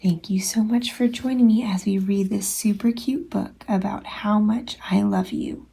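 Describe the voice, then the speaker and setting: Female storytime teacher reading to young children: expressive, slow-paced, lightly breathy and husky. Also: medium pitch. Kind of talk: children's storybook read-aloud.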